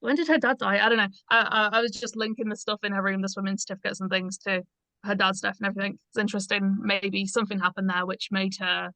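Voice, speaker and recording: Woman, bright forward voice, dry recording. Tentative, informal panel feedback. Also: mid-range voice, level tone, fast, no room sound, poor audio. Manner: tentative, searching for words. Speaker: script reading panellist. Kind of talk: casual feedback chat.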